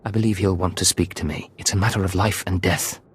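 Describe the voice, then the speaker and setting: Cool secret agent, quick clipped speech, low pitch, confident, curt, video game character. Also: male voice, steady tone, breathy edge. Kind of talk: scripted video game acting.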